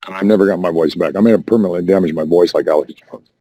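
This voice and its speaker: A hoarse man rambling defensively in casual voice chat, restarting and repeating himself. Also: low-pitched, rapid-fire, dark tone, no echo. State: hoarse, says voice is damaged. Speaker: Discord voice chat caller.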